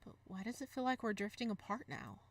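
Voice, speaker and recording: A woman hosting a gaming video, moving between expressive story narration and casual, animated commentary. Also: mid-range voice, a little fast. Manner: animated, exclamatory asides. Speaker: gaming video host reading a visual novel.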